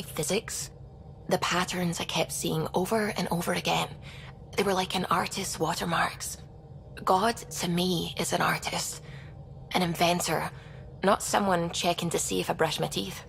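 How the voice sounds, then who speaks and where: Low, creaky-voiced thoughtful scientist. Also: female voice, steady tone, normal speed, slight background noise, rough recording. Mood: reflective. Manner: reflective, conversational. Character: thoughtful, articulate female scientist, quietly enthusiastic. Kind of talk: scripted video game acting.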